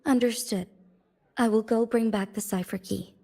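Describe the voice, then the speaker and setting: A woman's voice: a little high, steady tone, normal speed, a little mellow. An actress playing a dutiful young female city magistrate in a video game, speaking formally with composed resolve. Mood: solemn resolve. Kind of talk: scripted video game dialogue.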